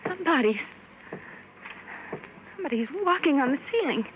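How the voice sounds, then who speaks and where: A woman's voice: high voice, lively intonation, moderate pace. An actress playing a jittery, easily frightened young woman in a 1950s radio drama, timid and gasping. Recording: hissy old radio broadcast, dull.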